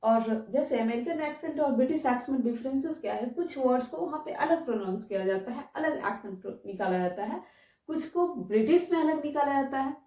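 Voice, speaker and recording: A woman's voice: sits high, animated, a little fast, mellow. An Indian woman giving a spoken English class, explaining to students, repeating key phrases and checking in with rhetorical questions. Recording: roomy echo, distant microphone.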